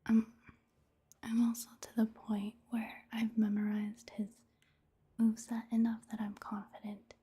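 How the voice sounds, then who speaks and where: A woman giving casual, gentle ASMR commentary on a video game in a hushed, breathy whisper, unhurried and soft-spoken. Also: a little high, completely flat delivery.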